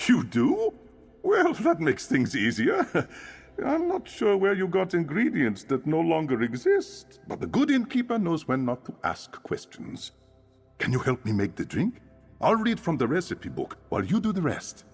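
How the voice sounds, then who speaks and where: A friendly, theatrical fantasy game innkeeper, eager to coach and tinker. His pitch leaps all over, and the voice rings with long reverb over background music. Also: huge pitch swings, a little slow, full character voice. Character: chatty male innkeeper, eager amateur drink inventor. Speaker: innkeeper giving instructions. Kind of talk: fantasy video game voice acting.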